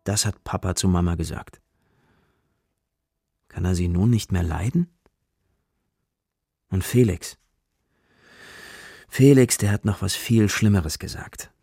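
A man narrating a children's story from a script in short, dramatic phrases, with a creaky voice. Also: sits low, slow pace, long pauses, dark tone, no echo, studio quality.

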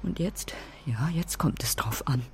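An actress playing a quick-tempered, worried mother from a fairground family in a radio drama, blunt and emphatic. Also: sits low, lively intonation, a little mellow, in a whisper. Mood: defensive, bitter. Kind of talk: naturalistic German radio drama acting.

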